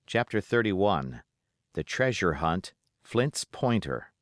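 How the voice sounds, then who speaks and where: A man's voice: low voice, sing-song, slow pace, no room sound, studio quality. A man reading a classic adventure novel aloud as a scripted audiobook narration.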